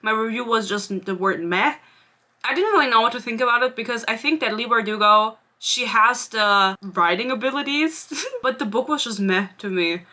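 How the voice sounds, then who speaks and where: A woman's voice: on the high side, normal speed, sharp tone. A young woman in her twenties whose first language is German, chatting casually as a book vlogger, rambling and sarcastic, laughing often. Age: 20s. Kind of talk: casual chat.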